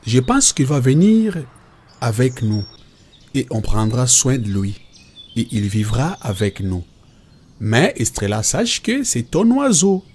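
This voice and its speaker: Slow, expressive man playing a preacherly cartoon father. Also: mid-range voice, husky, full character voice. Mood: gentle, pleased. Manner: didactic, preacherly, deliberate. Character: devout father patiently teaching his children.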